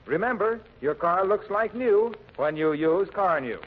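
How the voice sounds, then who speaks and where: A man's voice: high-pitched, lively intonation, unhurried. An American man announcing and selling in polished 1940s radio style. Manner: polished salesman delivery. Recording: muffled, narrow-band 1940s radio recording.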